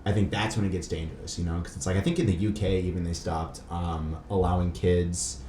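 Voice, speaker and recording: A man's voice: deep voice, little pitch movement, a little fast, mellow. A man hosting a podcast in a casual, informal way, rambling and questioning as he talks. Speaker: podcast host. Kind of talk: casual conversational interview talk. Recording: roomy echo with faint background noise.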